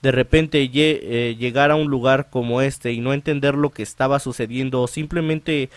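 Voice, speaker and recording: A man's voice: mid-range voice, fairly even intonation, normal speed, no room sound. A man hosting a let's play, reading visual novel narration aloud and now and then stumbling and rereading phrases.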